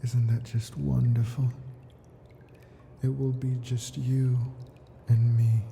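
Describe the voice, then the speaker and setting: A man's voice: medium pitch, level tone, slow, gravelly, warm tone, a little hiss or hum. A man voicing an ancient, possessive dragon captor in an ASMR fantasy roleplay, coaxing and imperious in formal storybook words. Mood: doting, sinister.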